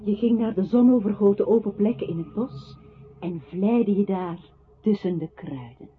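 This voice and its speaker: A woman's voice: mid-range voice, normal speed, faint music behind, lightly acted. Actress as solemn female narrator, thin radio sound. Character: solemn, poetic narrator addressing a composer. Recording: thin phone-like radio sound, faint noise.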